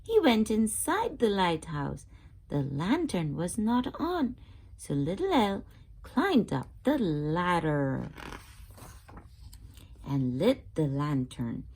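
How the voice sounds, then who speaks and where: Slow, animated woman reading to children. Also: lowish voice, breathy edge. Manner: expressive, asks listeners questions. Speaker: children's read-aloud channel host. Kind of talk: storybook read-aloud for young children.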